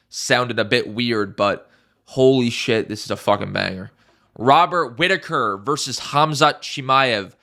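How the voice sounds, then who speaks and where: A man's voice: mid-range voice, pushed and bright. A young man with a congested, stuffy voice giving casual fight predictions, emphatic and repeating his points, swearing now and then. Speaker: MMA YouTuber.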